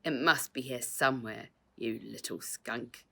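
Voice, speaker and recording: Storybook villain: crooked male cop, snarling and menacing, gravelly edge woman reader acts him. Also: a bass voice, a little slow, sharp tone, slight background noise, exaggerated voice. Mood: angry. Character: sneering aggressive crooked policeman, a male villain.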